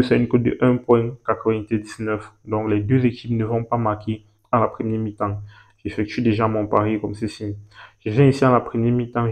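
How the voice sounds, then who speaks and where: A man, talking through a screen-recorded walkthrough, repeating himself and pausing as he points things out. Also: mid-range voice, muffled recording. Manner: repetitive and hesitant, points at things with "ici, là". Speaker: betting tutorial host.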